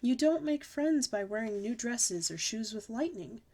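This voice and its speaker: A woman voicing a patient, gentle mother. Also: a little high, lively intonation. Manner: slow, gentle, deliberate explaining.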